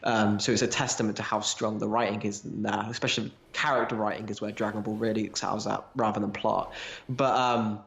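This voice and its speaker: A man's voice: on the low side, fairly bright tone. A man in casual analytical discussion as a podcast co-host, rambling a little as he thinks aloud.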